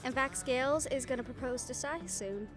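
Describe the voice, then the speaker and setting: A woman's voice: sits high, normal speed, lightly acted. A woman playing a writer who fondly tells how her characters' stories end, in an intimate audio roleplay style. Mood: fond, wistful. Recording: music playing behind the voice. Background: background music.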